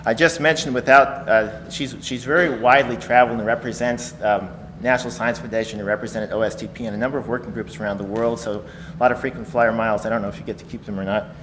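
A man introducing a guest speaker in a lecture hall, halting and full of fillers. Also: mid-range voice, steady tone, fairly bright tone. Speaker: event host introducing a speaker. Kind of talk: formal introduction. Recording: reverberant hall, faint noise.

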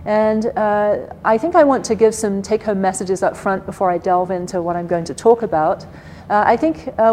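A soft-spoken woman with an English accent giving an academic lecture at a podium, measured and full of fillers. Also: medium pitch, a little hiss or hum. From England. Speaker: guest lecturer. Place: lecture hall.

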